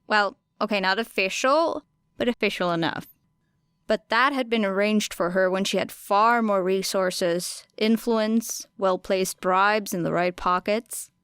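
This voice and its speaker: A woman reading fiction aloud as an audiobook narrator, with an expressive, wry and dramatic delivery. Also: medium pitch, unhurried, clean tone, no echo, studio quality.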